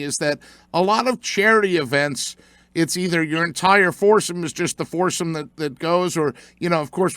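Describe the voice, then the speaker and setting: Older American radio host, rambling storyteller, high voice, smooth dry studio sound. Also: male voice, fairly bright tone, no room sound. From United States. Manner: chatty, storytelling, many fillers. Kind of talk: casual radio interview.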